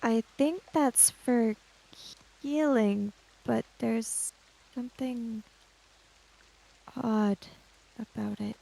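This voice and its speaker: A woman's voice: a little high, fairly even intonation, drawn-out words, gravelly, long pauses, dark tone, poor audio. A woman roleplaying in a tabletop game, speaking hesitantly and narrating what her character does. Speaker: player in a tabletop roleplaying podcast.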